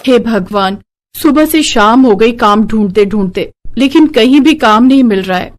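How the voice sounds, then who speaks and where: A woman voicing an earnest, tender-hearted young sister-in-law in an animated moral story, speaking expressively. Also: on the high side, moderate pace, slight background noise, close to an everyday voice. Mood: weary, despairing.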